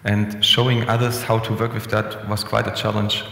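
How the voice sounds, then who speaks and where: A man's voice: fairly low pitch, normal speed, creaky. Young man in a reverberant hall. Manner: relaxed, conversational, frequent restarts and fillers. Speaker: conference speaker. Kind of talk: conference presentation. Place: conference room, indoors. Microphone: headset mic. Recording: echoey hall with long reverb.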